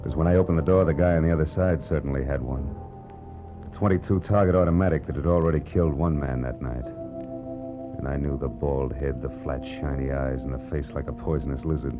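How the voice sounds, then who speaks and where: A man's voice: extremely low pitch, fairly quick. An actor playing a tough, wisecracking American private detective in a 1940s radio drama, clipped and wry as he acts and narrates. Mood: tense narration. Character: tough wisecracking private detective, sardonic and cool under threat. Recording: muffled vintage 1940s radio recording, music underneath.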